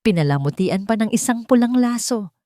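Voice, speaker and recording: A woman with a Filipino accent narrating a scripted love story in a measured, sentimental way. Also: on the high side, animated, mellow, dry recording, studio quality. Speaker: narrator of audio love stories.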